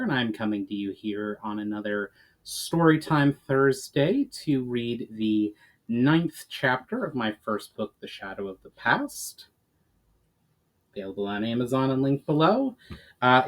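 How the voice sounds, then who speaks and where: A man's voice: mid-range voice, animated, unhurried, low-quality recording. A man giving a dramatic storytime reading as an author and narrator. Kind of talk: dramatic audiobook-style reading.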